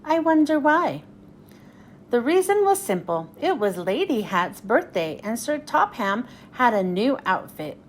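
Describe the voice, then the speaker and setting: Lively, high-voiced woman reading a storybook. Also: a little slow, hardly any pauses, slight background noise. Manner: expressive storytelling with voiced dialogue. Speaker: children's read-aloud host. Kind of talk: storybook reading aloud for children.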